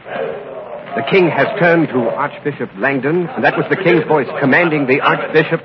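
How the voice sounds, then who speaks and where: A man's voice: a little high, normal speed, a loud crowd, natural voice. A man acting as an on-the-scene reporter in a vintage radio news drama, describing events as they unfold. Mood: hushed suspense. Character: urgent male field reporter describing a live event. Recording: muffled, noisy vintage radio recording.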